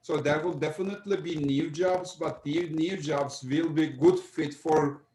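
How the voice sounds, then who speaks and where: A man giving an economist's view in an online seminar, explaining carefully with many pauses. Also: on the high side, fairly even intonation, mellow. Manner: explanatory, hesitant, many uhs. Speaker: economics panelist. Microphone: video call. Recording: echoey room.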